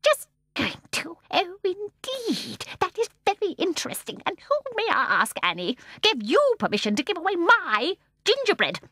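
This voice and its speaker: A woman voicing a tiny, ancient, tyrannical old shopkeeper woman with a shrill, squeaky, cackling voice, chattering gleefully one moment and turning soft and fierce the next. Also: wildly swooping pitch, moderate pace, hardly any pauses, no room sound, clean studio sound, heavily acted. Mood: fierce, scornful.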